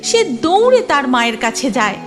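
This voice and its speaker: A woman's voice: high voice, big pitch swings, moderate pace, soft background music. A woman giving scripted narration of a cartoon moral story, in a reverberant recording.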